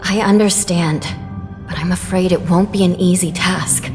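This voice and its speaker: A woman's voice: on the low side, moderate pace, natural voice. A woman voicing a dutiful judge in a game, speaking formally and politely. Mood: earnest. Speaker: game character. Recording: game audio with long reverb, music.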